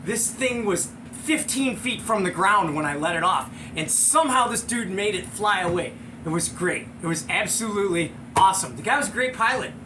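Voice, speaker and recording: A middle-aged American man hosting and telling a casual, slangy anecdote, excitable and acting out the sound effects. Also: high-pitched, moderate pace, noisy background. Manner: excitable, slangy, chuckling storyteller. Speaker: show host and storyteller.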